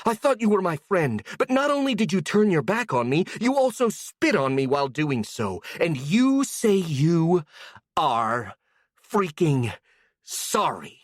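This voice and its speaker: A storyteller voicing a hot-tempered young woman who shouts and sneers with sarcasm. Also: on the low side, very animated, moderate pace, hardly any pauses, dry recording, studio quality, voice pushed up. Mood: raging.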